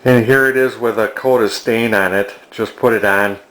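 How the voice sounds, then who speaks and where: An older man with a fairly low voice talks through a hands-on project. He is plainspoken and matter-of-fact, often halting as he casually explains each step. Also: fairly even intonation, a bit bright, poor audio. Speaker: antique restoration hobbyist demonstrating a refinishing project.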